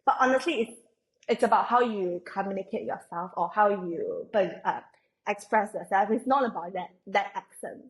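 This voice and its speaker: A woman's voice: mid-range voice, moderate pace, poor audio. A young woman from Malaysia, a podcast guest, talking reflectively in a casual, rambling way with frequent fillers. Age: young adult. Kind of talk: casual conversational interview.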